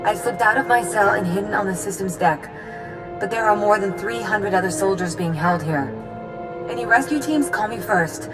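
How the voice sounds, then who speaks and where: A gutsy female sergeant acted by a woman for a sci-fi game, brisk and defiant. Her mid-pitched voice carries a long reverb, with music underneath. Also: natural voice. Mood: urgent, gutsy. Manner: brisk, determined, military. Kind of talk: video game cutscene acting.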